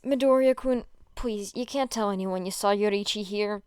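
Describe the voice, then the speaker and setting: A woman voicing a gentle, fretful male shop owner who speaks in an earnest, worried way. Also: medium pitch, normal speed, clean tone, no echo, clean studio sound, natural voice. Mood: fretting. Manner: fretting, soft, earnest.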